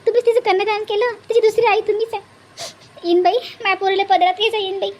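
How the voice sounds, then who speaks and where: A woman voicing a worried, humble middle-aged mother of a bride in a cartoon, imploring and apologetic, with a Varhadi Marathi accent and pitched-up voice. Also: little pitch movement, fast talker, a little mellow. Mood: worried, pleading. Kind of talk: homemade cartoon family drama.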